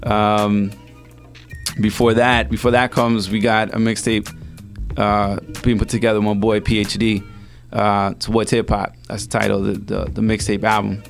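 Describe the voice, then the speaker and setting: A man's voice: lowish voice, flat delivery, normal speed, gravelly, soft background music. A man from El Salvador, a rapper guest, telling his story in a loose, slangy, laid-back way on a radio show. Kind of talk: casual radio interview.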